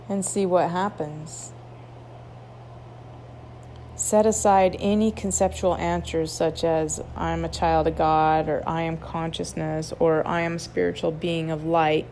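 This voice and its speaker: A woman guiding a meditation, reading instructions in an unhurried way with long pauses. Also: fairly low pitch, mellow. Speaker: meditation guide at a wellness retreat. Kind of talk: guided meditation, read aloud softly. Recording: clearly noisy ambient background.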